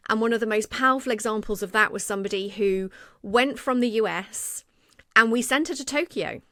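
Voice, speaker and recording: A woman's voice: on the high side, brisk, no room sound, studio quality. A woman co-hosting a podcast, telling a work story in a conversational way and acting out quoted lines. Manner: animated, acts out quoted speech. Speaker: podcast co-host.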